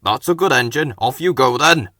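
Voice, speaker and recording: A man's voice: mid-range voice, moderate pace, bright, forceful tone, dry recording, studio quality. A man voicing a stout, firm railway boss in a storybook read-aloud, clipped and commanding. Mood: kindly. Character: stout stern railway boss, brisk and kindly.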